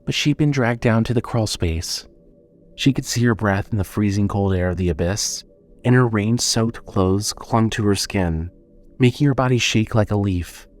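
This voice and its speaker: A man's voice: lowish voice, darkish tone. A man narrating a scripted horror story as the narrator of an audio drama.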